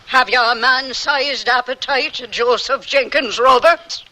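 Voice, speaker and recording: High, bright, bossy Irish landlady. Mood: brisk, businesslike. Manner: brisk, bossy, garrulous. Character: tall, bossy, kindly, fast-talking Irish boarding house landlady. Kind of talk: radio drama acting.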